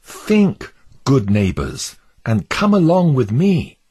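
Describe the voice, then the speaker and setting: A man voicing an earnest devout male pilgrim who pleads fervently with his neighbours, in a husky voice. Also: medium pitch, wildly swooping pitch, slow, a little mellow, pitched above the performer's own voice, full character voice. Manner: fervent, imploring.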